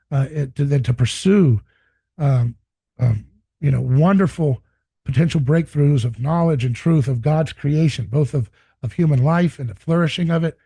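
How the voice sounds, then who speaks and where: Hoarse, gravelly man talking earnestly. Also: on the high side, mellow, dry recording. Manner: earnest, repeats words and restarts often. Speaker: podcast host. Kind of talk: casual monologue to viewers.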